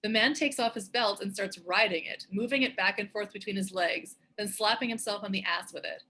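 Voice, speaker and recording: A woman narrates scripted action for a table read. Her medium-pitched voice is bright and forceful, with a husky edge. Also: fairly quick, low-quality recording. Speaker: narrator and show co-creator. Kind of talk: scripted stage-direction narration.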